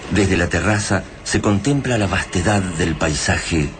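A man playing a grave, poetic narrator of a science-fiction radio play, with an Argentine accent and deliberate delivery. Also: low-pitched. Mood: grave, ominous. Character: solemn male narrator of a science-fiction invasion tale. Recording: radio drama with clear background noise.

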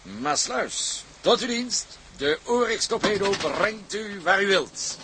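An obsequious car salesman from a period drama. His rather high voice swoops up and down through flowery, deferential sales patter. There is clear background noise around him. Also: expressive, takes it slowly. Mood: obliging. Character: eager, obsequious, smooth-talking male car salesman.

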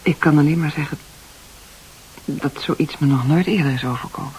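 An actress playing a shy, modest, bewildered nurse in a Dutch radio play, speaking in a breathy, hesitant, halting way. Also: female voice, low-pitched, a little hiss or hum, lightly acted, poor audio. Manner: hesitant, soft-spoken, halting.

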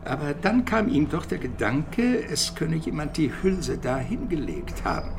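An actor playing a worried, meticulous male police commander, a loyal deputy explaining things deliberately and hesitantly in a crime radio drama. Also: a little high, very animated, creaky, background noise, heavily acted. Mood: matter-of-fact.

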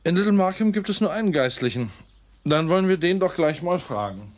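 Lively-pitched, courteous village gentleman. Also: fairly quick. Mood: probing, brisk. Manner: polite, assured. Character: courteous, inquisitive village gentleman, genial and composed. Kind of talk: German radio drama acting.

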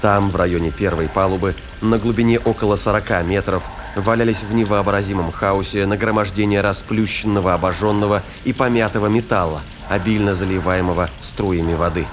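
A man's voice: on the low side, fairly even intonation, brisk, few pauses, background noise. A man narrating a science fiction audio drama in a scripted storytelling style. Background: ambience and sound effects.

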